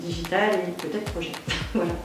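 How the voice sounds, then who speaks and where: A woman in her thirties who talks quickly and chattily, with fillers. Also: lowish voice, fairly even intonation. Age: 30s. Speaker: digital communication manager. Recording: distant, very echoey room with music.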